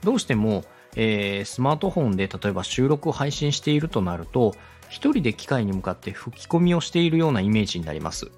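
Animated man hosting a podcast over music. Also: mid-range voice, fast talker, few pauses. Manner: polite, conversational, addresses the listener directly. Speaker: podcast host and radio director giving advice. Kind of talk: explanatory podcast teaching with acted example questions. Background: background music, faint.

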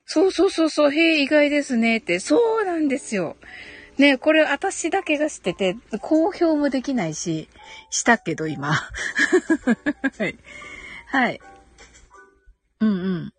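A woman's voice: high-pitched, sing-song, measured pace, long pauses, soft background music. A woman hosting a live audio stream, chatting casually and politely as she reads and answers comments, with frequent agreeing hums and giggles.

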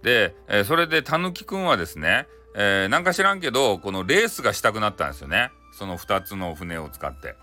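A man tells a story in an animated, bright voice with a Hakata accent. He is casual and playful, improvising chattily and adding his own sound effects. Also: fairly low pitch, quick pace, few pauses, faint music behind. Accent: Hakata Japanese. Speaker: storyteller, audio channel host. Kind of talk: casual improvised folktale telling in dialect.

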